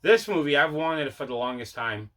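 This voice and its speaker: A man's voice: on the high side, big pitch swings, a little fast, fairly bright tone. A man hosting a casual mail-day video about his movie collection, talking in a repetitive, enthusiastic way.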